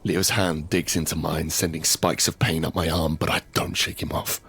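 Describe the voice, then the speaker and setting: A man's voice: extremely low pitch, little pitch movement, moderate pace, gravelly, fairly bright tone, slightly rough, exaggerated voice. A man playing a tense survivor who narrates a zombie apocalypse audio drama in short, suspenseful phrases. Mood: tense, hushed. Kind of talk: first-person dramatic narration with acted dialogue. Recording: background music under the voice.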